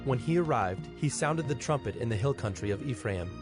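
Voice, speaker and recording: Male scripture narrator, mid-pitched, scripted and solemn, musical score clearly behind. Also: lively intonation, over music. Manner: solemn, measured storytelling.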